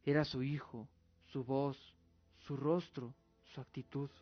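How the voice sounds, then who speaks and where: A man's voice: medium pitch, steady tone, slow pace. A man giving dramatic scripted narration as the narrator of an audio drama. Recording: dull lo-fi recording with music. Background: music.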